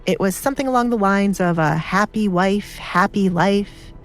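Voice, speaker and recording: A woman's voice: medium pitch, normal speed, soft background music. A woman narrator reading a scripted audiobook story.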